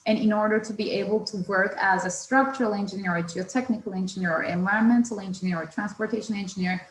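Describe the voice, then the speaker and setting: A woman's voice: medium pitch, quick pace, echoey room, slight background noise, low-quality recording. A woman from Turkey moderating an online panel, asking thoughtful open questions.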